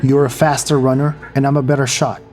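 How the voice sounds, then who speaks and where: An actor playing a grim but tender space crew member in a sci-fi horror audio drama, speaking in sparse, subdued phrases. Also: male voice, mid-range voice, little pitch movement, a little fast, darkish tone, faint music behind, close to an everyday voice. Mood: grim, resolute.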